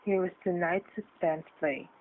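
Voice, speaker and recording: A man's voice: high-pitched, fairly even intonation, normal speed, narrow, tinny audio. A man announcing an old-time radio suspense program in a formal, dramatic style. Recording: band-limited old radio recording.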